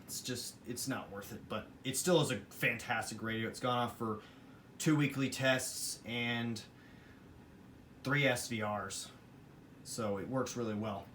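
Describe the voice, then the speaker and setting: A man's voice: mid-range voice, a little slow, fairly bright tone. Man casually reviewing gear, noisy recording. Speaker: hobbyist collector presenting weather radios. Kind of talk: casual rambling product review.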